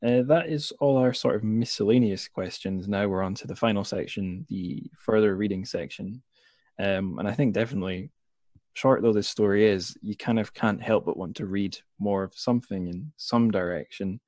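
A man with a fairly low voice hosts an interview, chatting casually as he puts questions to his guest. The sound is very dry, with no room echo. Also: normal speed, a little mellow. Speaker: podcast host, interviewer. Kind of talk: casual conversational interview hosting.

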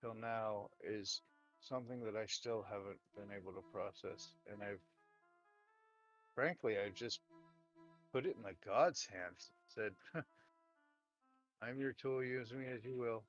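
A man playing a weary, devout, folksy dwarf warrior in an improvised audio drama, rambling and plain-spoken in a halting way. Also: on the low side, fairly even intonation, lightly acted. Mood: earnest, resolved. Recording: dull lo-fi audio, music behind.

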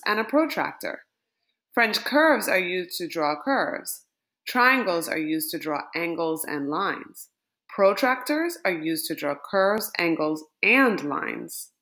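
A woman reading a children's picture book aloud, lifting her voice on each item in a list. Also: mid-range voice. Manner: slow, lilting and expressive, lifting words in lists. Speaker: storybook reader.

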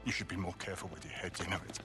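An actor playing a brash, mocking middle-aged London tough with an English accent in a video game cutscene. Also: male voice, sits low, steady tone, very fast, in a large hall, loud music behind the voice, natural voice. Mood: brisk, cheeky.

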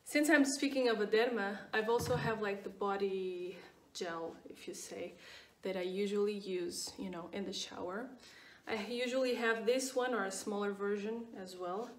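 A woman's voice: mid-range voice, steady tone, moderate pace, distant mic. Portuguese-accented woman in an echoey room. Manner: chatty, repeats words, frequent fillers. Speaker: skincare vlogger. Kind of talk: casual product review.

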